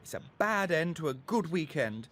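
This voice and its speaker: A man's voice: a little high, sing-song, moderate pace, a bit bright, voice pushed up. A man voicing a boy's weary, mildly exasperated but affectionate mother in a children's story.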